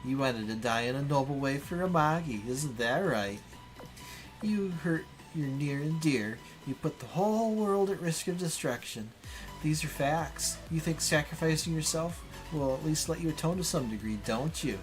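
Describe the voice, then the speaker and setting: A man's voice: a little high, expressive, moderate pace, faint music behind, natural voice, rough recording. A man voicing a mocking female villain from a visual novel, theatrical and full of scornful questions. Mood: scornful, taunting. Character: mocking, taunting female villain, a dark double.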